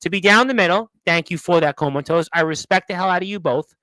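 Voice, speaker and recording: A man's voice: sits high, quick pace, bright, forceful tone, no echo, low-quality recording. A man hosting a live wrestling talk show, chatting casually as he reads out and reacts to viewer comments. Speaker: host of a wrestling radio show.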